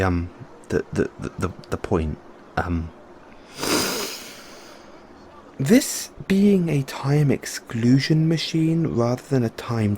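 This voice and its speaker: A man playing a nervous, geeky mad scientist boyfriend in an audio roleplay, explaining things haltingly to his partner. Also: medium pitch, darkish tone, slight background noise. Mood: sheepish, hesitant. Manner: hesitant, stammering, explaining at length. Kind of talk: audio roleplay acting, addressing the listener as partner.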